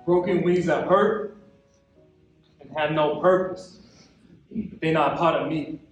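A young man with an American accent performing a theatrical stage monologue as an embittered, wounded young man, impassioned and colloquial, with dramatic pauses. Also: fairly high pitch, faint music behind. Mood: anguished, defiant. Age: young adult. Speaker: actor in a monologue competition. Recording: echoey hall, recorded from far off.

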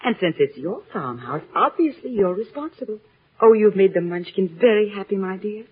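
A woman's voice: mid-range voice, expressive, moderate pace, breathy edge. An actress playing a gracious, self-assured good witch in a grand, lilting, theatrical old radio drama style. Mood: gracious, knowing. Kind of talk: 1930s-50s radio drama acting. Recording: tinny old radio broadcast, faint music.

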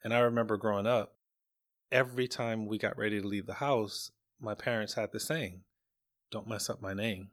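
Male guest, middle-aged, lowish pitch, measured conversational answers with repeated words. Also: steady tone. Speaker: guest, parent. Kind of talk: casual conversational interview answers.

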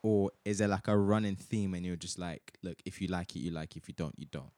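Podcast host, young man from Nigeria, faint Nigerian accent, lowish, dry close sound, laughs and teases. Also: brisk, mellow, no echo. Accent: light Nigerian. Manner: laughing, teasing, says bro a lot. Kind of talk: casual chat.

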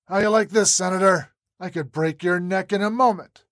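A woman's voice: mid-range voice, normal speed, dry recording, clean studio sound, close to an everyday voice. A woman voicing a hulking male terrorist leader who sneers and draws out taunting threats. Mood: sneering taunts.

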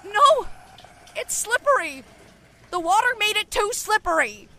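A woman's voice: extremely high pitch, very animated, a little slow, pushed and bright, a little hiss or hum, heavily acted. A woman acting a frightened, stammering survivor of the undead in an audio horror drama, pleading and full of doubt. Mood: panicked.

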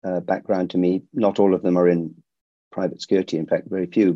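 A middle-aged man who grew up in India, a security company founder answering interview questions in a measured way with frequent restarts. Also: deep voice, dark tone, no echo, highs cut off.

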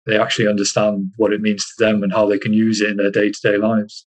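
A man from Iceland chatting casually as an interview guest, halting and repeating himself as he searches for words. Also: on the low side, completely flat delivery. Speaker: interview guest, army soldier.

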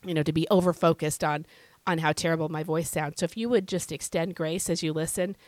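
A woman hosting a devotional podcast, her voice scratchy and hoarse from illness, teaching in an earnest, conversational way. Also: deep voice, fairly even intonation, a little fast, a little mellow, dry recording. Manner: earnest, conversational, with fillers and repeated phrases. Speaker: podcast host.

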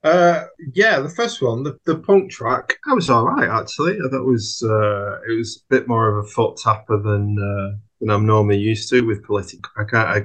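A man with a fairly low voice talks casually as a panelist on a music discussion. He is understated and hesitant, feeling his way through his opinions in informal language. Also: fairly bright tone. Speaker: podcast panelist. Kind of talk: casual chat about music.

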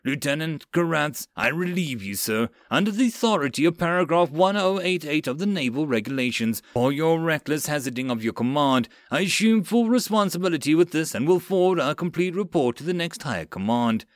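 A man's voice: on the high side, expressive, brisk, no echo, studio quality, voice pushed up, lightly acted. A man voicing a level-headed male Marine sergeant in a military fantasy audiobook, barking firm orders with exasperation. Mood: stern, formal.

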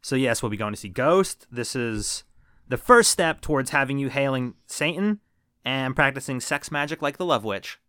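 A man's voice: mid-range voice, expressive, normal speed, a bit bright, clear, resonant tone, no echo, studio quality. A man in his thirties co-hosting a casual movie podcast, joking and swearing freely. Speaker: podcast co-host.

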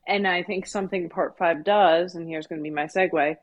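Slightly low-pitched woman podcast co-host. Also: fairly even intonation, brisk, dull audio. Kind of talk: casual book discussion.

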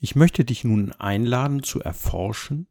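A man leading a guided meditation as an instructor, speaking calmly and gently in measured, unhurried phrases. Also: medium pitch. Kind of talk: guided body scan meditation, soft instruction.

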